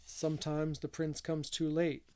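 A gentle middle-aged gentleman, played by a male storybook reader, wistful and unhurried. His voice stays close to plain narration. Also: fairly high pitch, completely flat delivery, smooth, close to an everyday voice.

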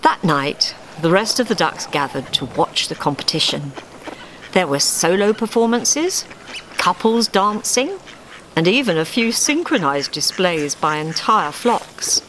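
A woman's voice: lowish voice, big pitch swings, a little slow, fairly bright tone, poor audio. A woman narrating a scripted story expressively, recorded live in a theatre. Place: small theatre stage before an audience. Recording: live theatre recording with faint background noise.

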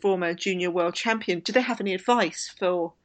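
A woman's voice: on the low side, brisk. Female podcast host, informal and talkative, prone to wandering off on tangents. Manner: chatty, digressive. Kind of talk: casual chat podcast about women's cycling.

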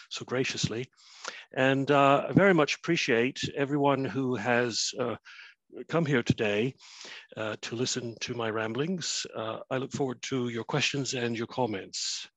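A Canadian man in his fifties, an author giving a prepared remote lecture, measured and full of uh and um fillers. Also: medium pitch, a bit bright, no echo, poor audio. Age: 50s. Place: his flat, remote talk.

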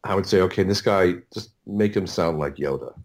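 Middle-aged male, low voice, producer being interviewed; rambling, stop-start delivery with repeated words. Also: a little fast. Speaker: audio producer, interview guest. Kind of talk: casual interview storytelling.

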